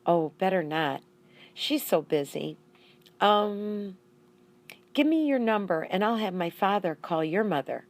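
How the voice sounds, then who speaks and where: A woman's voice: mid-range voice, lively intonation, measured pace. Woman as a shy, polite little schoolgirl. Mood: hesitant.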